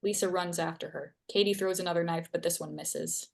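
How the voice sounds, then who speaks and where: Lower-pitched woman reading stage directions. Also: fairly even intonation, a little fast, vocal fry. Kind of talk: scripted narration at a live table read.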